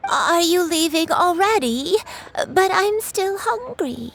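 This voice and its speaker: Slow, creaky old granny. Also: female voice, very high voice, lively intonation, a bit bright, slight background noise, full character voice. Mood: plaintive. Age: older. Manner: wistful, rambling. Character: dreamy, forgetful old granny. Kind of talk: video game voice acting.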